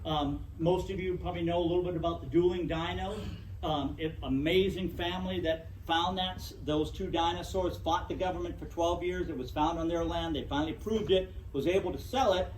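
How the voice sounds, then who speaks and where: Middle-aged male lecturer with a loud, projecting voice, a bit high, recorded from afar in an echoey room. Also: fairly even intonation, brisk, a little hiss or hum. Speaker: guest speaker. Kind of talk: public lecture to a club. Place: meeting room. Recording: echoey room, distant mic.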